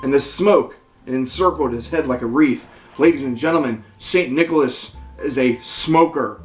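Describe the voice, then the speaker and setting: Mock-serious lecturing poetry reader, mid-pitched, indignant comic asides, roomy sound over soft music. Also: moderate pace. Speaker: performer in a dramatic poem reading. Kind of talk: poem recital with comic commentary. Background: jazzy Christmas music.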